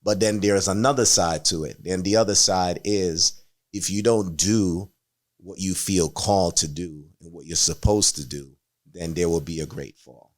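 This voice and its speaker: A man's voice: low-pitched, fairly even intonation, moderate pace. A man from Jamaica, a guest artist in a casual interview, talking in an earnest, reflective way with easy chuckles.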